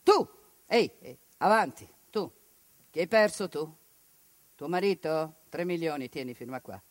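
A woman's voice: deep voice, animated, normal speed, pitched below the performer's own voice. A woman acting a callous official who hands out cash to grieving survivors, with brusque, curt, mocking orders. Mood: brusque, contemptuous.

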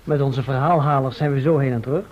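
A man's voice: mid-range voice, fairly even intonation, quick pace, lightly acted. An actor playing a polite, assured man from the future, precise and formal in a Dutch radio drama. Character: polite, assured male time traveller from the future. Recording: muffled, noisy old radio play recording.

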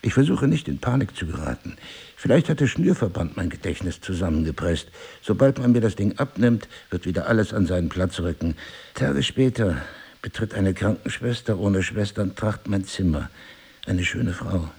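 A husband who has lost his memory, in a radio play. His low voice is deeply husky and gravelly. He speaks articulately, with dry irony and a searching, disoriented air. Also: lively intonation, moderate pace, a little mellow, heavily acted. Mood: bewildered. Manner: sardonic, musing, articulate. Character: wry amnesiac husband, a crime novelist, disoriented. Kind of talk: German radio play acting.

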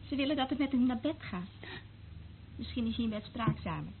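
A woman's voice: on the high side, lively intonation, a little fast, gravelly. Brash woman, thin band-limited sound. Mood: matter-of-fact. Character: brash, flippant woman eavesdropper working for military junta. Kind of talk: Dutch radio drama acting. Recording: tinny, narrow-band old radio recording, faint noise.

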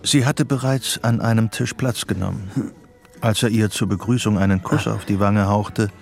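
An actor narrating a psychological radio drama in a measured, detached literary style. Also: male voice, lowish voice, fairly even intonation, gravelly, darkish tone, soft background music.

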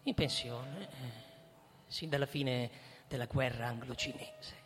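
An actor playing a cold, pompous retired army chief, an older man speaking formally and deliberately in a radio drama. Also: medium pitch, natural voice. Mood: pompous, firm. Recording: echoey hall, music underneath. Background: music.

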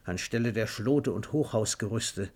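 A man's voice: fairly low pitch, moderate pace, darkish tone, husky. A man reads a scripted radio story in a theatrical, emphatic storytelling manner. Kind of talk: dramatic scripted storytelling narration.

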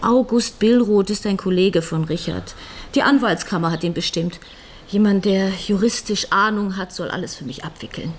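A woman's voice: medium pitch, normal speed, slight background noise, close to an everyday voice. An actress plays a weary middle-aged widow in a radio crime drama. Her mid-range voice is matter-of-fact and frank, with an impatient, exasperated edge. Light ambience sits behind her. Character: newly widowed lawyer's wife, broke, weary, frank, impatient. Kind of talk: naturalistic German radio crime drama acting.

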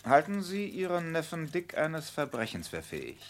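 An actor playing a shrewd, insistent police detective in a German radio crime drama, probing and dryly polite. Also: male voice, a little high, wildly swooping pitch, moderate pace, a little hiss or hum.

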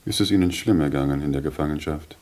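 A wealthy industrialist in a German radio drama. The voice is extremely deep, and the manner is brisk and matter-of-fact, used to making decisions. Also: male voice, darkish tone. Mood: inquiring. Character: businesslike, wealthy industrialist back from America.

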